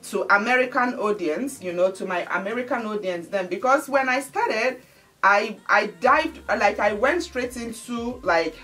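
A woman's voice: mid-range voice, recorded from a distance. Chatty woman with a Nigerian accent. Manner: chatty and animated, addresses viewers as guys. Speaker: beauty and lifestyle vlogger. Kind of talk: casual chat to camera. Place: bright indoor home studio.

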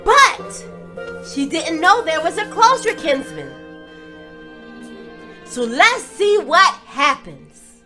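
A middle-aged woman hosting children's storytime, commenting on the story in an excited, emphatic manner. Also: very high-pitched, takes it slowly, pauses often, faint music behind. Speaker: children's storytime host, pastor.

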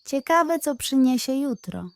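A woman voicing a wise, reassuring male pig detective in a children's tale, measured and persuasive. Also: sits high, animated, no echo, voice pushed up. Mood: self-satisfied.

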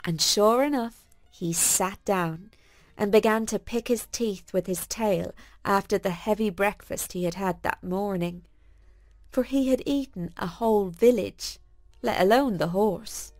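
Slow-paced woman telling a children's story. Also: medium pitch, no room sound, soft background music. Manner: folksy, expressive storytelling. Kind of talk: scripted bedtime story narration for children.

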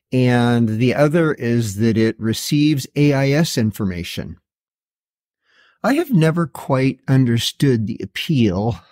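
A man's voice: medium pitch, a little mellow. A middle-aged or older man giving a casual, matter-of-fact product walkthrough as a video host, with a slightly wry, plain-spoken way of talking. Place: indoor garage workshop.

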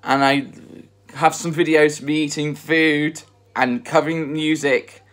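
A man in his twenties chatting to the camera while eating at home, repeating himself slowly and earnestly. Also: fairly high pitch, level tone, a bit bright. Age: 20s. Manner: repetitive, slow and earnest. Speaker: vlogger. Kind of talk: casual eating vlog chat. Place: bedroom at home, indoors.

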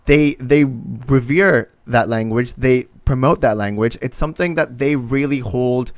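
Young male host, halting and casual, dry close studio mic. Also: mid-range voice, no room sound. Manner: halting, many restarts. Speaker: podcast host. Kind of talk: casual podcast conversation. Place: small home studio. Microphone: studio mic with headphones.

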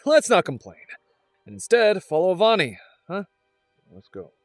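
A man playing a wry, excitable part-elf adventurer in a fantasy audio drama, with a casual manner. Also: high-pitched, measured pace, no room sound, studio quality, soft background music. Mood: relieved, wry.

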